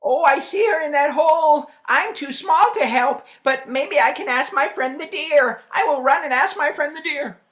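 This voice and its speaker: A woman's voice: high-pitched, natural voice. Woman doing an eager little squirrel. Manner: quick, excited.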